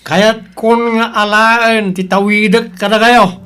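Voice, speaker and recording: A man's voice: sits high, fairly even intonation, slow, sharp tone, soft background music, exaggerated voice. A man playing a selfish, demanding grown son in a confrontational family radio melodrama. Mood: insistent. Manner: insistent, confrontational. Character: selfish, demanding grown son, a debt-ridden gambler back from sea.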